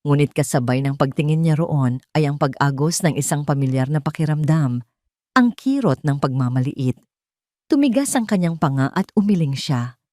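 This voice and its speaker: A woman's voice: sits low, lively intonation, moderate pace, dry recording, studio quality. A woman reading a scripted story as a dramatic storyteller. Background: soft music.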